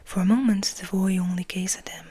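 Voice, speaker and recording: A woman reading a story aloud as soft ASMR, in a breathy, mostly unvoiced whisper with gentle, unhurried delivery. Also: mid-range voice, fairly even intonation. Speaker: ASMR host and reader.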